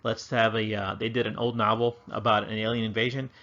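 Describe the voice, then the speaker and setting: A man's voice: on the low side, flat delivery, a little fast, bright, forceful tone, dull audio. A man teaching history in an informal, chatty, enthusiastic online lesson style with slangy phrasing. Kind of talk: casual explanatory online lesson.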